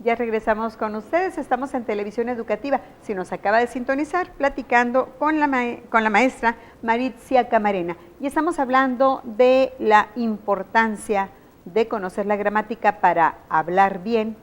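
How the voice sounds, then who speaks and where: A woman's voice: on the high side, moderate pace. A woman with a Mexican Spanish accent hosting an educational TV interview, asking long leading questions in a conversational way. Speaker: TV show host.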